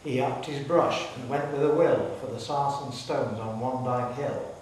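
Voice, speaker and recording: A man's voice: mid-range voice, a little slow, darkish tone. A man giving a dramatic recital of narrative poetry in a hall. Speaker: poetry reader. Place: public hall. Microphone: distant microphone. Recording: very echoey hall, distant mic, faint hiss.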